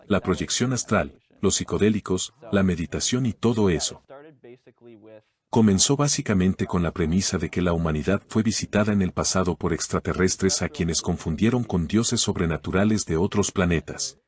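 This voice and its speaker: A man from Canada speaks as an interview guest, his voice sitting very low. He lays out his answers in a calm, methodical way, defining terms step by step. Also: moderate pace.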